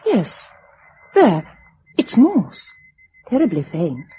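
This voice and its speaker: A woman acting in a British radio drama as a spirited, wry young novelist surviving a catastrophe, with a light, expressive manner and a British accent. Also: medium pitch, takes it slowly, slight background noise. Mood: anxious, sombre. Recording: dull lo-fi radio recording.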